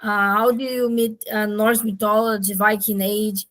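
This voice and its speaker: Halting woman interviewer. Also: mid-range voice, steady tone, no room sound. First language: Portuguese. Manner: hesitant, halting questions with fillers. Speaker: interviewer host.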